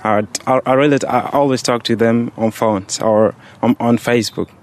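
Teenage male, from Egypt, casual interview answers, hesitant, plain wording, medium pitch. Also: fairly even intonation, moderate pace, a little mellow. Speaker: student on a referee course, interviewee.

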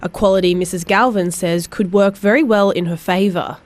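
A woman's voice: mid-range voice, moderate pace. Woman reporter narrating news. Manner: clear, even reporting.

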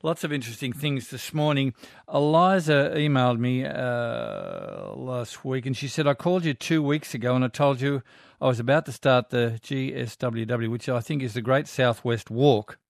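A man's voice: mid-range voice, expressive, moderate pace, vocal fry, no room sound, clean studio sound. An older Australian man hosting a talkback radio show in a chatty, folksy way, reading out listener emails. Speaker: radio host. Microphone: studio microphone.

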